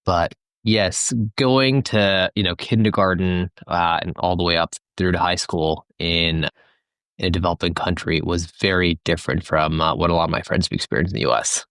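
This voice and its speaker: A man from Tanzania, a company founder, answering interview questions in a measured way with many "you know" fillers. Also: low-pitched, a bit bright, dry recording.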